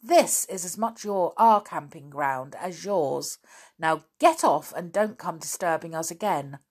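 A woman voicing a calm, determined boy standing up to grown men, in a storybook reading. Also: lowish voice, a little slow, breathy edge. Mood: firm. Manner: calm but firm.

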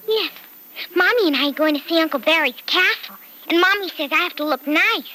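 A woman's voice: very high voice, brisk, pushed and bright, poor audio. A woman playing an earnest, insistent little girl with a childlike, pleading manner in an old-time radio serial. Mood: eager, insistent.

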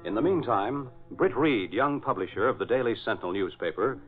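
A man's voice: mid-range voice, expressive, fairly quick. A man narrating a vintage radio drama in a scripted announcer's style. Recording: vintage radio, narrow band, music behind. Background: music.

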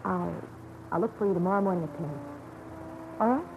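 An actress playing a secretive, uneasy young sweetheart in a classic radio drama, hesitant and evasive. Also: female voice, fairly low pitch, a little fast, creaky. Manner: hesitant, evasive, soft-pedalling. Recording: noisy, muffled vintage radio recording.

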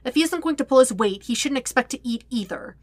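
A woman's voice: high-pitched, animated, quick pace, no echo, voice pushed up. A woman voicing a harsh, grudge-bearing young woman in a story, curt and dismissive. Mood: scornful.

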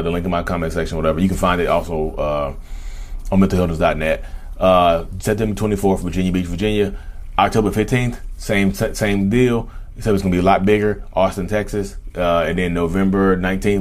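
A man's voice: low voice, quick pace. A man in his thirties talking casually and emphatically to his viewers, with slangy phrasing and a habit of repeating himself. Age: 30s. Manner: animated, repetitive and emphatic, slangy, acts out imagined dialogue. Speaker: YouTube host speaking about his own narcissism. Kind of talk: casual direct-to-camera talk.

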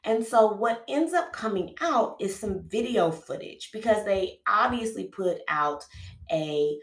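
A woman's voice: mid-range voice, lively intonation, measured pace. A woman podcast host with a cold, recounting a true-crime case in a casual, conversational way, full of fillers and restarts. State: has a cold, sniffling. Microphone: podcast microphone. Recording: roomy echo.

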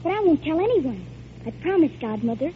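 Excitable, very high-voiced little girl. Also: fairly quick. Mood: earnest. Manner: breathless, eager, earnest. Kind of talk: 1940s radio drama acting. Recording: noisy, muffled old radio recording.

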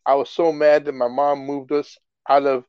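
A middle-aged man from the Philippines, a guest in a casual interview, telling stories with lively excitement. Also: mid-range voice, moderate pace, clear, resonant tone, no echo, dull audio. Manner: animated storyteller, gets excited and laughs while telling stories. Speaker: guest, music artist.

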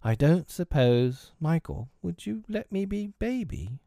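A man acts out a humble little storybook boy, bashful and gloomy. The words come heavily and hesitantly, in a soft, mellow voice recorded very dry. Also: medium pitch, no echo, close to an everyday voice. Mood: heavy, pleading. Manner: heavy, slow, hesitant delivery.

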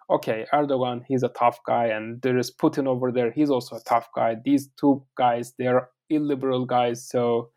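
A man's voice: medium pitch, a little mellow. A man from Turkey with a Turkish accent, a guest author on an interview podcast, talking in a rambling way with many fillers and repeated words.

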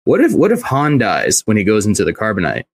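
Male podcast co-host, low-pitched, dry recording, casual and a bit sweary. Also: wildly swooping pitch, fairly quick, no room sound. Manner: informal, sweary. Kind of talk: casual pop culture chat.

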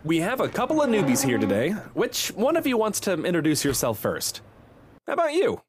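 A man's voice: high voice, wildly swooping pitch, fairly quick, a little hiss or hum. A man playing an upbeat, welcoming counselor who leads a support group in an audio drama, reassuring and encouraging. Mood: welcoming, cheery.

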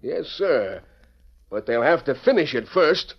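An actor playing a blustering, bullying crooked land promoter in an old western radio drama. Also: male voice, mid-range voice, very animated, unhurried. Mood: menacing, scheming. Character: blustering crooked land promoter, a man, quick-tempered boss. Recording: muffled old lo-fi broadcast recording.

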